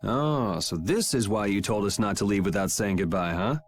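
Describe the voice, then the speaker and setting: A man's voice: lowish voice, normal speed, faint music behind, natural voice. A man voicing a cool, sardonic young swordsman in a video game, laid-back, wry and teasing. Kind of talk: English video game dub acting.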